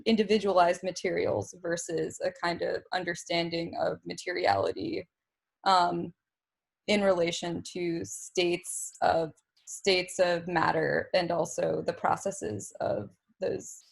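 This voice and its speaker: A woman's voice: lowish voice, gravelly, breathy edge. A woman hosting a podcast, asking long, rambling questions full of fillers and restarts. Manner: rambling, hesitant, many fillers. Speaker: podcast host. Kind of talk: casual conversation.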